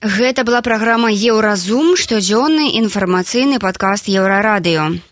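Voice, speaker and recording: A woman with a mid-pitched voice hosts a podcast and puts friendly, direct questions to her guest. The recording is dry and close-miked. Her delivery is conversational. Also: normal speed, no echo. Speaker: podcast host, interviewer.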